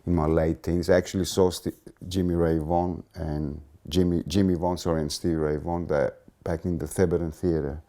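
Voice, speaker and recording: A Greek-born man in his fifties with a Greek accent, reminiscing in a casual interview with halting restarts. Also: low-pitched, little pitch movement, dark tone. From Greece. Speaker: interviewee, blues musician.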